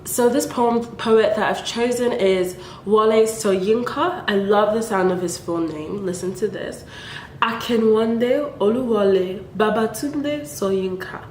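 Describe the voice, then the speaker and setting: A woman's voice: medium pitch, unhurried, a little mellow, slight background noise. A young woman from Nigeria chatting playfully to her viewers in a casual vlog, with laughs between remarks. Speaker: vlogger. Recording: echoey room.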